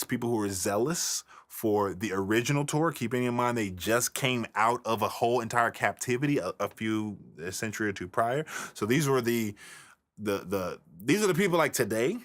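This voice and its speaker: A man teaches in a loose, improvised lecture style. His pitch moves around a lot, and his delivery is colloquial and emphatic, leaning hard on key words. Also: animated, moderate pace, a bit bright. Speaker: religious history teacher.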